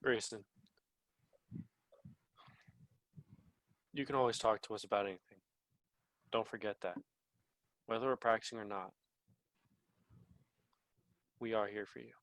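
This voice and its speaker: A man's voice: lowish voice, steady tone, moderate pace, long pauses, a bit bright, dry recording. A young man acting in a student play as a laid-back, friendly gamer with a casual, slangy manner. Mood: supportive. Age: young adult.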